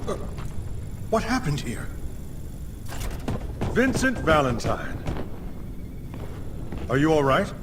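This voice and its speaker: An actor playing an earnest, urbane commissioner in a video game, speaking in an expressive, courteous way. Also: male voice, a little high, takes it slowly, pauses often, heavy background noise. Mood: concerned, courteous. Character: earnest, urbane male commissioner leading a relief force.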